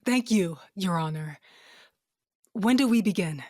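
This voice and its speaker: A woman's voice: mid-range voice, lively intonation, measured pace, a little mellow, no echo, clean studio sound. A woman playing a decisive, sardonic wife on a fantasy quest in an audio drama. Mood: urgent, determined.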